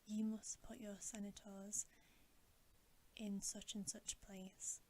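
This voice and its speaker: A woman voicing a solemn, weary male lion leader from a storybook in a breathy, measured ASMR whisper. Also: mid-range voice, little pitch movement, dark tone, a little hiss or hum, natural voice, rough recording. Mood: instructing. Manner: grave, measured, slow.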